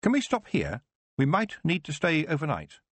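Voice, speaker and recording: A man's voice: on the high side, wildly swooping pitch, moderate pace, fairly bright tone, breathy edge, dry recording, voice pushed up, heavily acted. A man reading aloud, voicing an earnest young woman witch who is proud of her craft. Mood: earnest, confident. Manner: earnest, heartfelt.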